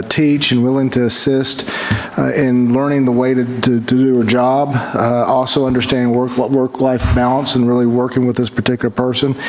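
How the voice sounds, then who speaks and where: Halting older man, medium pitch. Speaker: staff award presenter. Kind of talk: off-the-cuff tribute speech. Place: indoor government meeting room. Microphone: podium microphone. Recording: background noise in meeting room.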